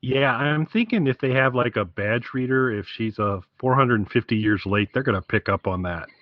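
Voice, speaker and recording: A man's voice: mid-range voice, normal speed, dry recording, dull audio. A man co-hosting a podcast, chatting casually with an amused, wry, conversational manner. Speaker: podcast co-host.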